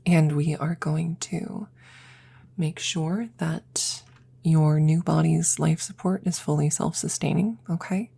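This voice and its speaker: A woman performs a tired, caring woman scientist in an intimate audio roleplay. Her voice is dark, husky and slow, often sinking toward a whisper. She speaks casually and hesitantly, with worried reassurance for her best friend. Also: low-pitched, fairly even intonation, full character voice. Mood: concerned, careful. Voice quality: breathy, often dropping to a whisper.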